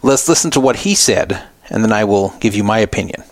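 A man's voice: on the low side, animated, fairly quick, no echo. A man hosting a talk podcast, giving opinionated, explanatory commentary off the cuff. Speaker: podcast radio host. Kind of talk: unscripted poker news commentary.

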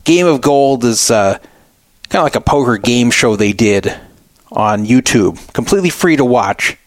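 A middle-aged man hosting a talk radio show, giving opinionated solo commentary with emphatic, deliberate phrasing and repetition for emphasis. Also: mid-range voice, lively intonation, no room sound, studio quality.

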